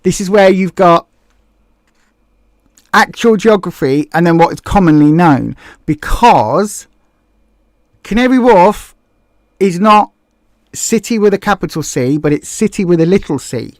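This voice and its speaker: A woman's voice: on the low side, no echo, studio quality. A woman with a Southeast English accent hosting a casual livestream, chatting in a rambling way and correcting herself as she reads viewer comments. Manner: rambling, self-correcting, many fillers. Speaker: livestream host.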